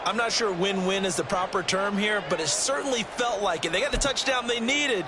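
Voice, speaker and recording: A man's voice: sits high, brisk, heavy background noise, natural voice. A man with an American accent voicing an assured ex-player football analyst in a sports video game, explaining emphatically. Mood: analytical. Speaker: colour commentator. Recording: loud music and ball-bounce sounds behind. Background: loud music.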